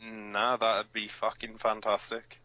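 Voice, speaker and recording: Male actor as battle-scarred resistance fighter, radio drama, blunt, halting, forceful bright tone, muffled lo-fi recording. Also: on the low side, completely flat delivery. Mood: bitter. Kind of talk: audio drama as underground radio broadcast. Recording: dull lo-fi sound.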